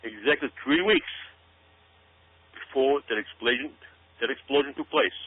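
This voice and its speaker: A man's voice: medium pitch, normal speed, husky, no echo. A man giving dramatic commentary as a radio show guest, speaking emphatically, repeating himself and asking rhetorical questions. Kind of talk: dramatic speculative commentary to listeners. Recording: muffled narrow-band radio sound.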